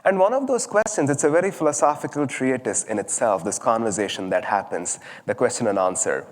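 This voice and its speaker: A man's voice: mid-range voice, animated, brisk. Man giving a reflective talk in a reverberant hall. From southern India. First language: Tamil. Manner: thoughtful, storytelling, many fillers. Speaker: speaker at a spiritual service.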